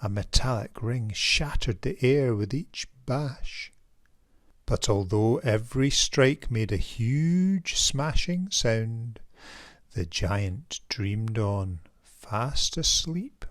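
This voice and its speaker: A man reading a picture book aloud to young children in an expressive, animated storytelling way, as its author. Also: mid-range voice, takes it slowly, no echo, studio quality. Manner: expressive, addresses young listeners directly.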